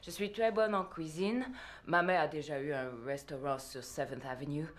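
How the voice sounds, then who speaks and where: A woman's voice: low voice, steady tone, natural voice. A woman dubbing a hesitant adult student in a French film dub. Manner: hesitant, halting. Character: hesitant woman student, speaks of her mother.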